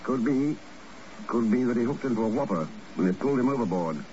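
An actor playing a shrewd secret agent with a dry, understated manner, in 1950s radio drama style. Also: male voice, mid-range voice, expressive, brisk, a little mellow, natural voice. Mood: wry, skeptical. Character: shrewd, wry secret agent following his hunches. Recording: muffled, noisy old radio recording.

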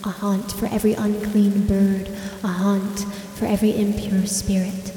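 Stern female scripture reciter acted, monotone and grave, echoing, for audio horror. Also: mid-range voice, moderate pace, slight background noise, lightly acted. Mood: grave, condemning. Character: stern woman intoning scripture as condemnation. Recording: echoey hall with long reverb.

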